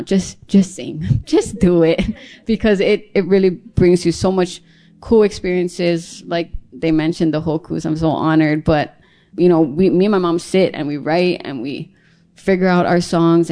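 Young woman from hawaii, grew up speaking hawaiian first, kinda low voice, chatting about her life in an interview. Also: dark tone. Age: young adult. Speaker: singer-songwriter, interview guest. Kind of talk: casual personal storytelling in an interview.

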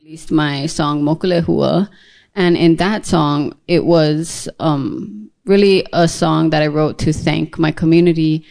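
Young woman from hawaii, grew up speaking hawaiian first, kinda low voice, chatting about her life in an interview. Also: fairly even intonation, a little slow, gravelly, a little mellow. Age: young adult. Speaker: singer-songwriter, interview guest. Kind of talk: casual personal storytelling in an interview.

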